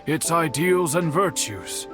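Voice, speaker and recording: A man playing a solemn, courteous older swordsmith and worried uncle in a video game, speaking in formal, measured diction. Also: a little high, expressive, over music. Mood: reverent, solemn.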